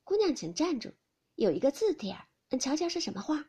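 A woman voicing a gentle, conciliatory young maidservant in an audiobook of a classical Chinese novel. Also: high voice, big pitch swings, a little fast, pitched above the performer's own voice. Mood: eager.

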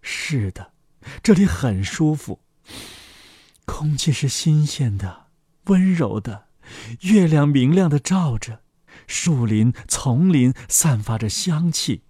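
A man narrating a fairy tale for children as the host, in an expressive scripted storytelling style. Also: a little high, slow pace, slightly rough, dry recording, clean studio sound.

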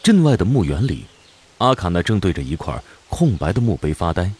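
A man's voice: sits low, very animated, a little fast, a little mellow, husky. A man giving scripted narration as storyteller of a fantasy radio drama.

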